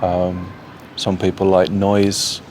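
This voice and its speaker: A man with a very deep voice describes office life in a calm, measured interview. He pauses often on "um" between points. Street noise and passing vehicles are clearly heard behind him. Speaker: office worker. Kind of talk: unscripted interview answer.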